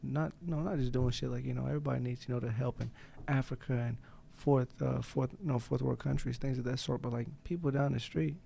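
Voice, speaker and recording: A man's voice: medium pitch, steady tone, brisk, vocal fry, few pauses, dark tone, slight background noise. A young American man and guest boxer in casual podcast chat, loose and slangy, swearing freely and laughing often. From United States.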